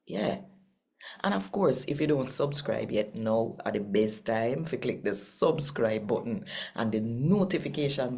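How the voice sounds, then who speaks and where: A woman with a Jamaican accent hosting and reading scripture in an expressive, deliberate storytelling manner. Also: extremely low pitch. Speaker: host and scripture reader.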